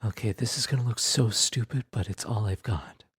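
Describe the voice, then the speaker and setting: An actor voices a gentle, awkward demon who retrieves souls, in an intimate ASMR audio drama. The voice is very breathy and soft, at a middling pitch, often sinking near a whisper. The delivery is hesitant and reluctant, yet comforting. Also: no room sound, studio quality. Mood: uneasy muttering. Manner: hesitant, halting, sighing, muttering to self.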